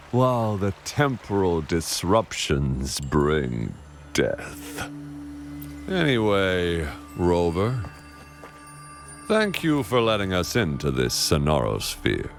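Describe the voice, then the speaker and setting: A man's voice: medium pitch, fairly bright tone, heavily acted. A man playing a menacing, gloating villain in a video game, with a theatrical, taunting delivery. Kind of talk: scripted game voice acting. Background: music.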